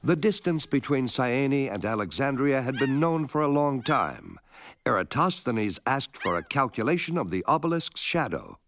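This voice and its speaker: A man giving a measured, scripted narration for an educational film, explaining things clearly step by step. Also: mid-range voice, big pitch swings, fairly bright tone, slight background noise. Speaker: documentary narrator.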